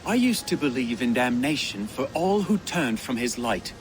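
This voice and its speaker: A man's voice: mid-range voice, sing-song, moderate pace, natural voice. A man playing an earnest, devout Saxon. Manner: earnest, pious. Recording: game cutscene with loud ambient noise.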